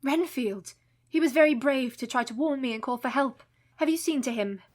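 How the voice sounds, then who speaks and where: An actress playing a brave, distraught young wife in a radio play, intense, earnest and emotive. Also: female voice, sits high, normal speed. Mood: sober. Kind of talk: radio play dramatic acting.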